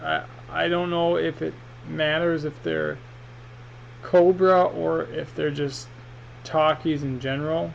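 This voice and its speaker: A man's voice: a little high, level tone, slow. A man giving a casual, rambling, hesitant product review in an unboxing vlog, speaking off the cuff. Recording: muffled, with clear background noise.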